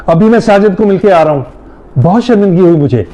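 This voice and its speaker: A man's voice: sits high, mellow, natural voice. A man playing a strict, principled middle-aged father in a moral-story drama, speaking in an emphatic, lecturing way. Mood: angry. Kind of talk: Urdu moral-story audio drama acting.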